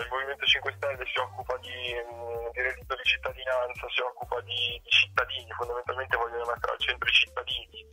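A man giving casual political commentary, halting and often repeating words as he goes. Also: mid-range voice, sharp tone, slightly rough. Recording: muffled phone-like line, music underneath.

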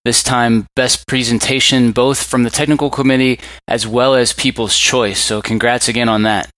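A man hosting a podcast, reading a scripted intro and asking a guest questions in a friendly, measured way. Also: medium pitch, steady tone, a bit bright, no echo, rough recording. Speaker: podcast host.

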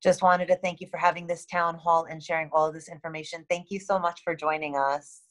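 A woman in her thirties with a halting, matter-of-fact manner, reading and answering questions as a school administrator on a video call. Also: on the low side, flat delivery. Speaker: school administrator hosting a town hall. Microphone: video call, computer microphone.

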